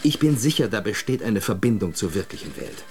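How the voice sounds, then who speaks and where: A man's voice: fairly low pitch, very animated, a little fast, gravelly, a little mellow, soft background music. A man playing a restless, obsessed, persuasive character in a radio play, speaking with insistent emphasis. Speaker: actor in a radio drama. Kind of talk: German radio play acting.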